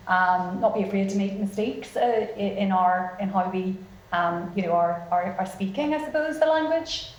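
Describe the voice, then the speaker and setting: A woman moderating a panel, asking long, halting questions full of fillers. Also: mid-range voice, darkish tone. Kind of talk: panel discussion. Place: event room with audience. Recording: roomy hall.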